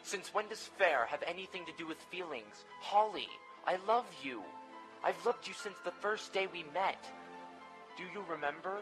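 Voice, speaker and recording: A man's voice: high-pitched, animated, moderate pace, over music, poor audio. A man playing a possessive, lovesick suitor, pleading and goading in a dramatic gothic audio drama style. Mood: wounded, pleading. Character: possessive, lovesick male suitor, intense, insistent.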